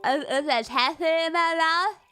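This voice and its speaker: A woman streamer telling stories in casual chat, animated, jumping to squealed quotes. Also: very high voice, a little slow, faint music behind. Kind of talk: casual chat, recapping a trip.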